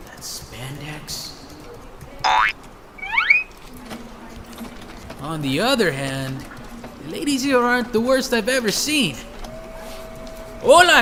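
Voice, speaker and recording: A man's voice: fairly high pitch, long reverb tail, noisy background. A man playing a flirtatious, grumbling homicide detective in an audio drama, cocky and theatrical.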